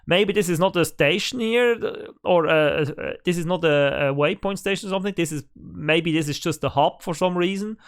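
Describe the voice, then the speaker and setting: A man's voice: a little high, normal speed, hardly any pauses, clear, resonant tone. A man who is a native German speaker, hosting casual game news commentary from home on a desk microphone, thinking aloud with lots of fillers and restarts. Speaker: gaming channel host.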